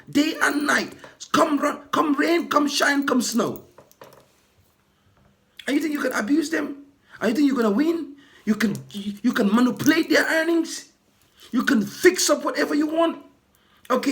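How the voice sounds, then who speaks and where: A man who works as a ride-hailing driver, hoarse from losing his voice, delivering an indignant, repetitive rant to camera for his vlog. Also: extremely high pitch, a bit bright. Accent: British. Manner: indignant, repetitive, building to impassioned outbursts.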